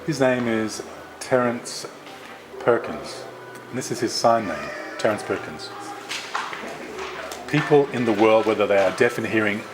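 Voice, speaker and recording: Male sign interpreter, deeper register, steady and careful delivery, noisy ambience in the recording. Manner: measured, careful phrasing with small restarts. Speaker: sign language interpreter voicing both sides. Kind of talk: voiced interpretation of a signed interview.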